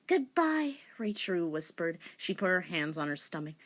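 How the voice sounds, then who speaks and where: A woman's voice: low-pitched, fairly quick, a bit bright. A woman giving a comedic dramatic reading with casual commentary, in a sardonic, giggly manner. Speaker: commentator and reader.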